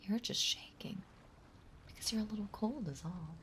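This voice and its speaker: A woman playing a possessive, playful yet menacing mermaid in an intimate roleplay audio drama, speaking in a soft, breathy, halting and teasing way. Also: medium pitch, a little hiss or hum, lightly acted. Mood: sweetly possessive. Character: possessive yandere mermaid, playful, sweetly menacing young female. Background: ocean ambience sound effects.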